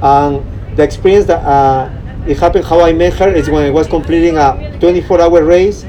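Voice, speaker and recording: A man's voice: fairly high pitch, mellow, low-quality recording. Peruvian-accented man, outdoor traffic behind. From Peru. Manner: halting, searching for words. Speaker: guest, runner. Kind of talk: casual interview. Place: outdoors by the beach. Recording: outdoor noise, passing traffic.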